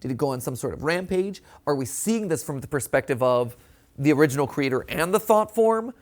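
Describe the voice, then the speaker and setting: A man hosting a reaction show, theorizing casually in an animated, conversational way and often checking in with a tag question. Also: fairly high pitch, brisk. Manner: animated, conversational, often ends points with "right?".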